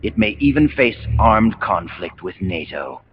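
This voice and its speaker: An actor with an American accent playing a calm, messianic military commander villain in a video game, speaking in solemn, grandiose, deliberate speeches. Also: male voice, fairly low pitch, a little hiss or hum. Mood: calculating. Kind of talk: dramatic video game cutscene monologue. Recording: muffled game audio under loud music.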